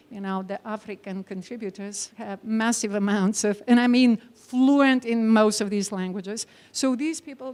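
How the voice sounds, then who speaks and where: A Bulgarian woman, a non-native English speaker with a Bulgarian accent, giving an academic conference lecture in an emphatic, engaging way. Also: on the high side. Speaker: academic speaker, teacher trainer.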